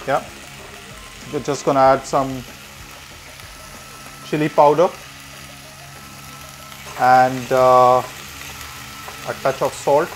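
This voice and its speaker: A male chef in his thirties walks through a recipe in a demonstration kitchen. His voice is medium in pitch, and light music plays behind it with some sizzling from the pan. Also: flat delivery, very slow, pauses often, poor audio. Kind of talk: cooking demonstration.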